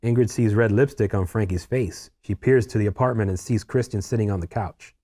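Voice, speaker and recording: A man's voice: on the low side, brisk, warm tone. A man narrating a screenplay, reading out scene headings and stage directions as scripted narration.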